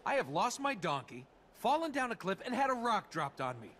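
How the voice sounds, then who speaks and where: A wisecracking young adventurer. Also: male voice, high-pitched, very animated, brisk, a little hiss or hum, heavily acted. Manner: wry, sarcastic quips. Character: young male wandering adventurer, sarcastic and wisecracking. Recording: over loud game music.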